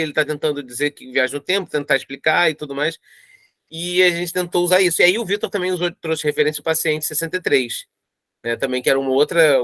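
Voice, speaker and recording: A Brazilian man speaks in a casual interview, with a bright, forceful voice pitched a little high. He thinks aloud and circles back over his words. The sound is dry and close, with little room to it. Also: no echo. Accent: Brazilian Portuguese. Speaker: audio drama creator discussing his project.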